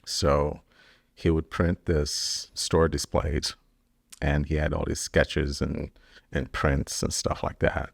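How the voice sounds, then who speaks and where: A middle-aged man from Kenya, a business founder answering interview questions in a relaxed, rambling way with many fillers. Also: extremely low pitch, slow pace, vocal fry, no echo, studio quality.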